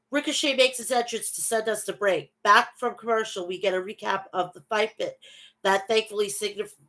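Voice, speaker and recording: A woman's voice: mid-range voice, fairly bright tone. Woman, nasal, head cold, stuffy nose, casual recap host, chatty. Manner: chatty, reads match recaps then talks to viewers. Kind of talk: casual wrestling recap and chat.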